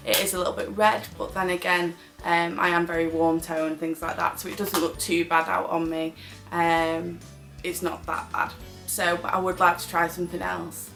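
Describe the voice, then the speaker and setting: A woman's voice: lowish voice, gravelly, bright, forceful tone. A British woman chatting casually as a beauty vlogger reviewing products.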